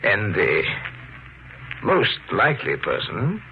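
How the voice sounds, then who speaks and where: An actor playing a calm, menacing killer with measured, formal politeness in a 1950s radio thriller. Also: male voice, on the low side, a little hiss or hum, exaggerated voice. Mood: polite concern. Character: calm, menacing male killer posing as a family friend. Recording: muffled vintage radio broadcast with music.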